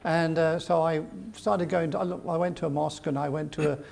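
Older man, gravelly voice, fairly high pitch; rambling, chuckling interview guest. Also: fairly even intonation, brisk, darkish tone. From England. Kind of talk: storytelling interview.

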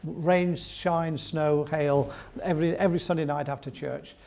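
Older man with a gravelly voice. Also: fairly high pitch, steady tone, a little slow, darkish tone. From England. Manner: rambling, with chuckles and restarts. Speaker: guest. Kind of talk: storytelling interview.

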